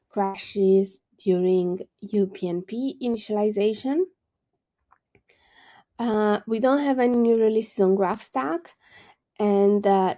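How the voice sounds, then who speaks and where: A woman with a cold, methodically reading out software release updates on a video call. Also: medium pitch. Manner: steady, methodical, many ums. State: has a cold. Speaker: presenter of a regular segment.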